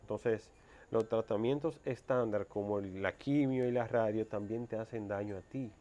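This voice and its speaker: A man's voice: on the low side, moderate pace, warm tone, highs cut off. A man in his thirties with a Dominican accent, speaking as an interview guest in an explanatory, conversational way. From Dominican Republic.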